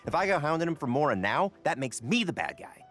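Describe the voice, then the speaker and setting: High-pitched, fretful small-time merchant. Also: male voice, fast talker, soft background music. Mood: defensive, grumbling. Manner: animated, complaining, colloquial. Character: fretful small-time male merchant and lender, cautious, grumbling. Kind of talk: video game character dialogue.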